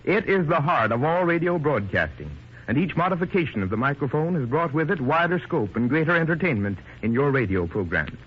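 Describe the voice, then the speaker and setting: A man announcing on 1930s radio, with a fairly high voice that swings in lively fashion. He addresses listeners formally, like a showman. The old recording is dull and lo-fi. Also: a little fast, breathy edge. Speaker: radio presenter.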